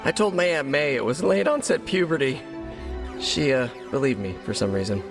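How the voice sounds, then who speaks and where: A man's voice: medium pitch, expressive, normal speed, gravelly, music in the background. An actor voicing a young, wisecracking, awkward American male superhero in a video game, joking in a hesitant, rambling way. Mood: sheepish, joking.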